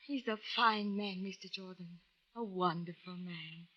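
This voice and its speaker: A woman's voice: fairly low pitch, a little slow, fairly bright tone. A woman playing an anxious, devoted wife in an old radio drama, pleading in careful, formal sentences. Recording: dull old radio recording.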